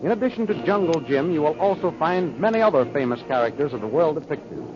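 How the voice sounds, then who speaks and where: A man's voice: fairly high pitch, lively intonation, fast talker, a crowd in the background. A man announcing and narrating a 1930s radio serial in a formal, dramatic style. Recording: muffled old-time radio recording. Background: music.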